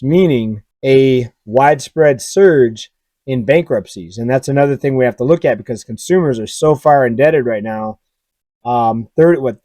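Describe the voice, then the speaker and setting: Hoarse man talking over finance charts. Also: mid-range voice, warm tone, no room sound. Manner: conversational, asks viewers directly, many fillers. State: hoarse, raspy voice. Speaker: finance YouTuber. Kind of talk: casual commentary over charts.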